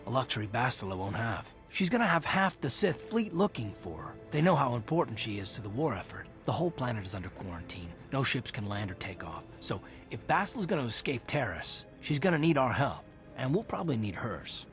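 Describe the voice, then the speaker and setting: A man's voice: medium pitch, sing-song, fairly quick, hardly any pauses, soft background music, natural voice. An actor playing a resolute, weary male Republic soldier, speaking earnestly in a dramatic video game style, explaining with some urgency. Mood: earnest, determined.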